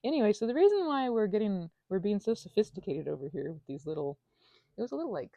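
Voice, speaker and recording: A woman with a mellow, very lively voice rambles in an improvised stream of consciousness. She is playful and self-deprecating, wandering into tangents and sometimes sinking to a near whisper. The sound is close and dry, though a little dull. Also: medium pitch, fast talker, dry recording. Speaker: audio channel creator.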